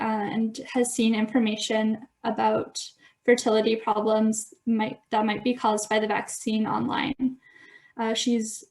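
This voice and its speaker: A 25-year-old woman from Alberta presents a project, measured and explanatory. Her voice is on the high side, with plenty of vocal fry. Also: a little mellow. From Alberta, Canada. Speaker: student presenter.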